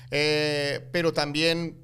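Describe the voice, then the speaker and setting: Middle-aged man, Colombian accent, slightly high and smooth voice, chatty interview guest who restarts often. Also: extremely slow. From United States, Colombian family. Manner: animated storyteller with many fillers and restarts. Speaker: guest, actor and coffee entrepreneur. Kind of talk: casual interview storytelling in Spanish.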